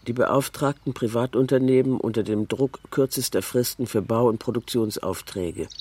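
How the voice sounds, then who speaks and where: A man's voice: mid-range voice, a little mellow, slight background noise. A man narrating a scripted documentary text in a terse, factual, report-like manner.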